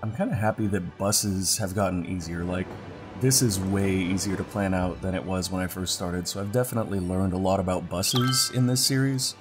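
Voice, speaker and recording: A congested man giving casual gameplay commentary as a let's play host, relaxed and thinking aloud as he clicks. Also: low voice, moderate pace. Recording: background music under voice.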